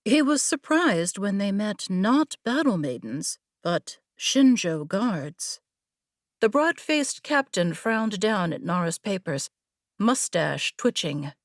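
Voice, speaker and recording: A woman narrating a scripted fantasy story in a measured, deliberate manner. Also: mid-range voice, lively intonation, no echo, studio quality. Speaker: audiobook narrator.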